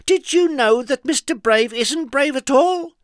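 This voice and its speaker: A man reading a storybook aloud, voicing a mischievous little woman who loudly shouts and taunts. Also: very high-pitched, expressive, a little slow, no echo, studio quality, voice pushed up. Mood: taunting.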